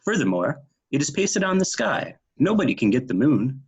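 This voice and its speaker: Husky, pompous royal mathematician played by a man. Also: medium pitch, very animated, moderate pace, full character voice. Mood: pedantic. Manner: pompous, precise, emphatic. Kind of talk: readers theater storybook reading.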